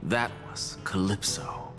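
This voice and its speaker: An actor playing a proud, coolly theatrical professor in a video game, lecturing in a deliberate, pompous manner. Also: male voice, over music, lightly acted. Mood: coolly triumphant. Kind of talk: dramatic scripted game dialogue.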